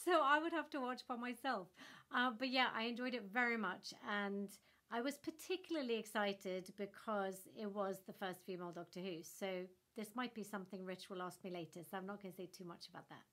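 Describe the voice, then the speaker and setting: A woman's voice: mid-range voice, fairly quick. A woman in her thirties from Norway, a native Norwegian speaker, answering interview questions eagerly over a webcam video call from home. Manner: enthusiastic, animated with hand gestures. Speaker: actress guest. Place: home room in front of a floral wall. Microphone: laptop webcam on a video call.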